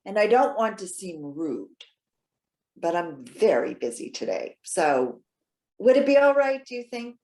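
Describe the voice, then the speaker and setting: A woman playing a lonely, proud elderly lady in a stage reading, halting and questioning with wounded politeness. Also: mid-range voice, very animated, a little mellow. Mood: politely cool. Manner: halting, stammering, emphatic questioning. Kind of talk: readers theater stage acting.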